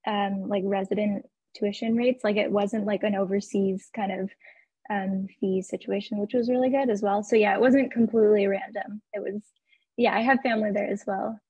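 A woman's voice: medium pitch, fairly even intonation, moderate pace, a little mellow, slightly rough, no echo, highs cut off. A young woman from Arizona, a graphic designer guest, talking in a friendly way full of "like" and "um". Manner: friendly, lots of "like" and "um". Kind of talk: casual interview.

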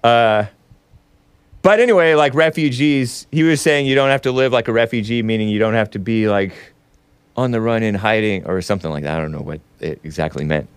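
A man's voice: fairly low pitch, fairly quick, clear, resonant tone, no echo. A man with a nasal voice hosting a casual, opinionated talk show, rambling, laughing often and chatting with viewers. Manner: rambling, laughs often, chats with the live chat. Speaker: show host.

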